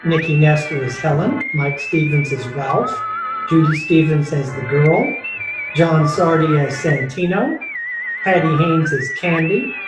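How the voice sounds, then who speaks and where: A man's voice: on the high side, measured pace. A man reading scripted cast credits as the announcer of an old-time radio show. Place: home, remote recording. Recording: echoey, distant mic, music behind. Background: music.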